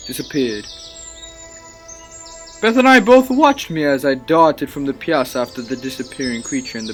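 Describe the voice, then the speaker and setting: A man's voice: fairly high pitch, fairly bright tone. A man playing a haunted, evasive scientist in a dramatic old-time radio drama style. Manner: dramatic, theatrical. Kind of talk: old-time radio drama acting, first-person narration.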